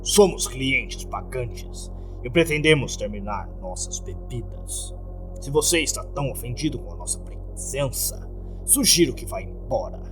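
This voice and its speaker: A man voicing a smug, menacing male cult leader in a horror story, with a slow, pompous, deliberate delivery. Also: medium pitch, expressive, over music, lightly acted. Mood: smug, defiant.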